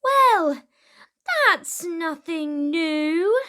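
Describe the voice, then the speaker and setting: Woman playing rowdy storybook Viking crew, high pitch, slow stretched words, loud and brash. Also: big pitch swings, exaggerated voice. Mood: dismissive. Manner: loud, stretched, sing-out delivery. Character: rowdy chorus-like Viking crew, bored and hard to impress.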